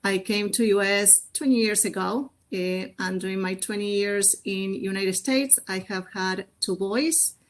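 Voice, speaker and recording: A woman's voice: medium pitch, unhurried, few pauses. Middle-aged woman, conversational panel introduction. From Argentina. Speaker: panelist, general manager.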